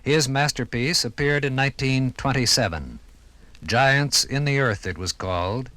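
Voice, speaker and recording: An American man narrating a scripted 1950s radio documentary with formal, measured, dramatic phrasing. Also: medium pitch, bright, forceful tone, no room sound.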